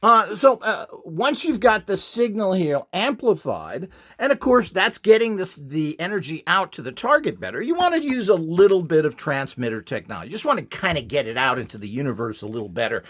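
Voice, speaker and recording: A man's voice: on the high side, sing-song, brisk, fairly bright tone. A man giving an informal explanatory tutorial, rambling and opinionated as he talks. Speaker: instructor and device builder.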